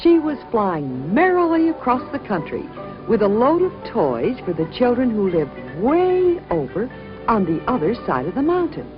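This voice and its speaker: A woman narrating a children's story from a script in a dramatic, expressive and emphatic way. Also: fairly high pitch, moderate pace. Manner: dramatic, emphatic, acts out sound words. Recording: dull lo-fi recording, music behind.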